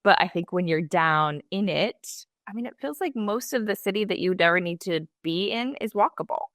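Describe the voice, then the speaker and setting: A woman's voice: lowish voice, expressive, normal speed, fairly bright tone, dry recording, studio quality. A woman hosting an interview in a chatty, enthusiastic way. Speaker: host. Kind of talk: interview chat.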